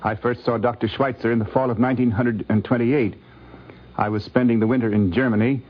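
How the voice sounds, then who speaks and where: An older American man gives an articulate, formal and measured personal reminiscence on a 1950s radio recording. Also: mid-range voice, steady tone, highs cut off. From United States. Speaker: college president recalling a memory.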